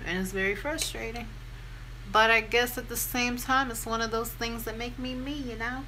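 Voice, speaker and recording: A young American woman with a deep voice talks casually and candidly, in a self-deprecating, informal way, as a vlogger telling a story. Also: fairly even intonation, normal speed, sharp tone, a little hiss or hum, rough recording. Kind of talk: casual chatty get-ready-with-me storytime.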